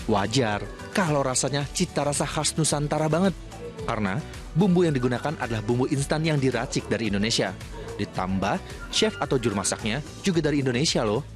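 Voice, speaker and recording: A male narrator voices a scripted news feature in a chatty, playful way. His pitch is average for a man, with clear music underneath. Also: lively intonation, a little fast.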